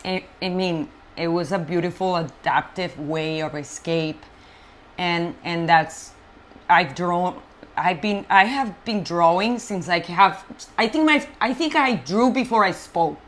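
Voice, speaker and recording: A woman from Colombia, a native Spanish speaker, telling her life story as an interview guest in an animated, halting way with frequent restarts. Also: fairly low pitch. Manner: animated, halting, many fillers and restarts, frank. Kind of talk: personal storytelling in conversation.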